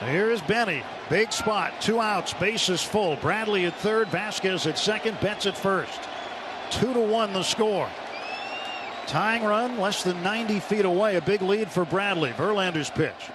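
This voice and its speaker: High-pitched American baseball play-by-play man. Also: unhurried, crowd noise. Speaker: baseball radio play-by-play announcer. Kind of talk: live sports commentary. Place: broadcast booth at a baseball stadium. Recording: noisy live radio ballpark broadcast.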